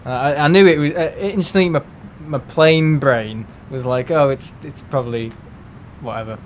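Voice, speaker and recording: A man's voice: fairly high pitch, normal speed, slight background noise. A man with a Merseyside accent, hosting a livestream and chatting casually with viewers, rambling with lots of fillers and restarts. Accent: Merseyside English. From England. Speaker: livestream host. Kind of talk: casual chat with live viewers.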